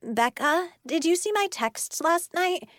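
A woman's voice: extremely high pitch, animated, slow, fairly bright tone, no echo, studio quality, pitched above the performer's own voice, exaggerated voice. A woman playing a needy, pushy schoolgirl in a children's audiobook, insistent and pleading.

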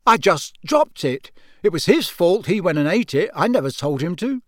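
A man's voice: high voice, sing-song, moderate pace, fairly bright tone, dry recording, clean studio sound, voice pushed up. A man voicing a mischievous teenage wizard boy, cheeky and indignant.

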